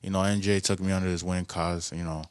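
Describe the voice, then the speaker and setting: Fast-talking young man, casual chat. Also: low-pitched, smooth, dry recording, clean studio sound. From Brooklyn, New York. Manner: relaxed, peppers talk with "you know what I mean". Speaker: guest.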